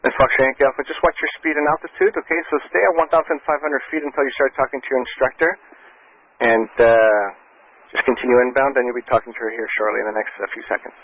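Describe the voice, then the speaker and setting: Brisk male air traffic controller on tinny radio. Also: medium pitch. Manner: calm, reassuring, clear step-by-step phrasing. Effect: aviation radio. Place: control tower, heard over radio. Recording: muffled, band-limited aviation radio transmission.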